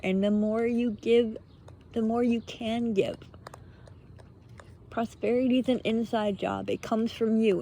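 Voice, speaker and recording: A woman giving an earnest, unscripted spiritual pep talk in short, halting phrases, often posing rhetorical questions. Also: fairly high pitch, dark tone, a little hiss or hum. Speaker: motivational speaker, vlogger.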